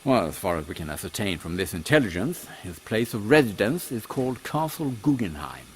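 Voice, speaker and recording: A man voicing a composed British captain of Victorian secret agents, measured and formal, in a comic audio drama. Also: on the low side, sing-song. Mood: serious. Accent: British English. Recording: faint background noise.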